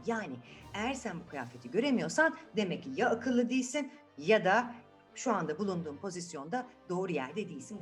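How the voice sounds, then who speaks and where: A woman telling a fairy tale to children in an animated, conversational way, often addressing them directly. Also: on the low side. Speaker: children's storyteller.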